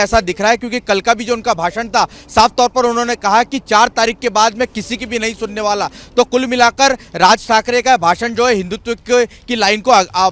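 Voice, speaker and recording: A man's voice: sits high, fairly bright tone. A man reporting live for television news, explaining in long runs broken by hesitations. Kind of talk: live report in conversation with anchor.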